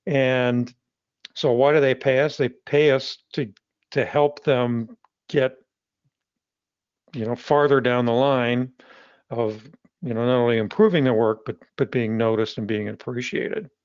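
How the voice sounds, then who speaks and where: A man's voice: mid-range voice, darkish tone, clear, resonant tone, no room sound. A man who publishes a magazine, chatting in an interview in a middling voice. He trips over his words and restarts often, backing up to rephrase. The recording is dry and a little dull, with no room sound. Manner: halting, with frequent restarts. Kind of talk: casual interview conversation.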